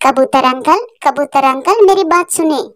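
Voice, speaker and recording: A high, childlike voice from a woman plays an orphaned young boy bird in a cartoon. He is earnest and pleading, with a wounded innocence. The sound is close and dry. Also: normal speed, no echo, lightly acted. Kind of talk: Hindi cartoon story character voice.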